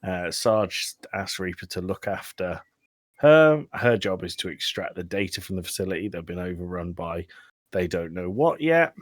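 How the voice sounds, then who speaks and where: A man's voice: deep voice, lively intonation, moderate pace, no echo. A man hosting a podcast, casually recapping a film with informal, sweary, dry humour. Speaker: podcast host.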